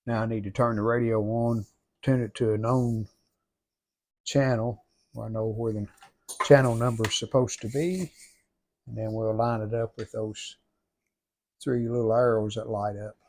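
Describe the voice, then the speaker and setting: A man giving casual how-to narration as a hobbyist restorer, plain-spoken and folksy, low-key, talking in short phrases. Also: steady tone, normal speed, pauses often, darkish tone. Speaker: hobbyist restorer demonstrating a repair.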